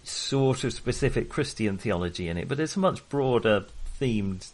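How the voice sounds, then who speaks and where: A man's voice: medium pitch, expressive, normal speed. A man co-hosting a podcast, chatting casually about films in a mild, conciliatory, hedging manner. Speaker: podcast co-host. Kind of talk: casual film chat.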